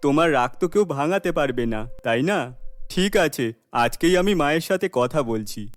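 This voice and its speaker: A man's voice: on the high side, expressive, moderate pace, no echo, natural voice. A man voicing a polite, placating young village boyfriend in a cartoon, with earnest delivery. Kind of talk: animated cartoon acting.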